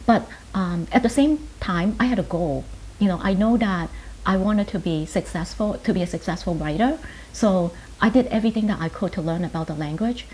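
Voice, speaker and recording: A woman in her fifties from Hong Kong, a native Chinese speaker, reflecting on her life in an earnest, halting way in short phrases. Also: medium pitch, darkish tone, poor audio. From Hong Kong, later New York. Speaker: pharmacist and writer being interviewed. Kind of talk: reflective personal interview answers. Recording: hissy background noise.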